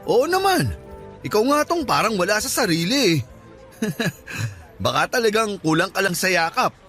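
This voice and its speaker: A man's voice: high-pitched, very animated, unhurried, pushed and bright, faint music behind, full character voice. A man playing a playful, insistent and oblivious middle-aged suitor in a Filipino radio romance drama, coaxing and teasing. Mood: insistent, puzzled.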